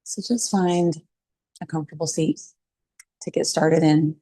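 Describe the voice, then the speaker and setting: Slow, low-voiced woman guiding meditation. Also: no room sound. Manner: calm, soothing, unhurried with long pauses. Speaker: meditation teacher. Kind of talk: guided meditation.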